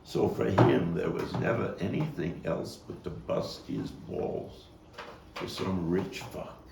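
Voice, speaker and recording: Actor as low, gravelly street-wise Brooklyn man reminiscing. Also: full character voice. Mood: reflective, wry. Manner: halting, reflective, dramatic pauses. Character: street-wise, bookish Brooklyn man recalling a hard childhood. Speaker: actor-playwright performing his monologue. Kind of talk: theatrical monologue at a table read. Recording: roomy, distant mic with faint noise.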